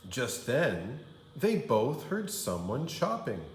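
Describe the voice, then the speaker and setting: A man reads a children's storybook in a roomy, echoing space. His delivery is very slow and highly animated, swinging dramatically through the phrases like a kindergarten teacher at story time. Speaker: kindergarten teacher reading aloud. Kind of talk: animated storybook read-aloud.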